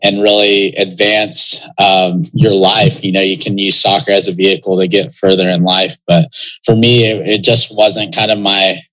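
A man's voice: low voice, little pitch movement, normal speed, a bit bright, rough recording. A young man from Texas, a pro soccer player talking as an interview guest in a loose, reflective way, with lots of fillers. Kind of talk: casual interview talk.

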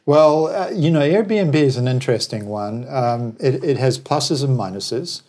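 A man's voice: mid-range voice, expressive, measured pace, darkish tone. A man from New Zealand who later lived in Australia, talking as an interview guest in a discursive, opinionated way with wry anecdotes. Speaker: interview guest, travel business operator. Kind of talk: conversational interview commentary.

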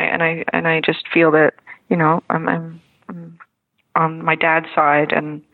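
A woman's voice: lowish voice, vocal fry. Dutch woman on a tinny phone-like line. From Netherlands. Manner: hesitant, many fillers and restarts, laughs often. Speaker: actress, interview guest. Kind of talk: casual interview answers.

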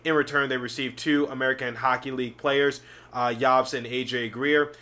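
A man's voice: medium pitch, fairly even intonation, moderate pace, sharp tone. A man with a sore, slightly scratchy throat, hosting a sports podcast in a rambling, chatty way with many fillers. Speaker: podcast host. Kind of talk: casual sports podcast talk.

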